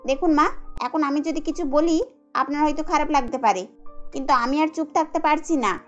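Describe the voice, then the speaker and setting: Woman voicing a shrill-pitched, scornful nagging sister-in-law. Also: a little fast, highs cut off, faint music behind, natural voice. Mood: indignant. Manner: sarcastic, scolding. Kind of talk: Bengali cartoon dubbing.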